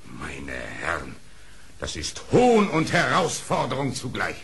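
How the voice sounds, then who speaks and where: A man's voice: lowish voice, huge pitch swings, slow pace, creaky, fairly bright tone, exaggerated voice. An actor playing a dignified lion king in an audio play, speaking in a formal, declamatory way. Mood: grave indignation. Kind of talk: German audio play acting.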